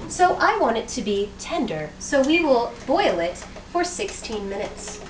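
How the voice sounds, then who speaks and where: A woman's voice: on the high side, big pitch swings, measured pace. A young woman giving a jokey, chatty cooking demonstration in a small home kitchen, recorded on a handheld camera. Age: young adult. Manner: chatty, jokey, self-mocking, moving around while cooking. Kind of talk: playful how-to narration. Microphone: handheld camera mic, moving. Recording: noisy home camera audio.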